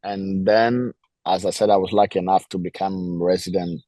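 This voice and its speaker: Sex: man. Pitch: very deep. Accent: Greek. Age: 40s. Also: fairly even intonation, normal speed, mellow, no echo. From Greece. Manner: earnest, reflective, searches for words. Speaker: DJ guest. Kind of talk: casual interview chat.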